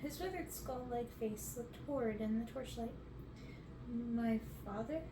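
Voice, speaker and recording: A woman's voice: on the high side, normal speed. A woman in her twenties reading a storybook aloud as an ASMR reader. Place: bedroom, on a bed. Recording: roomy, noisy, distant mic.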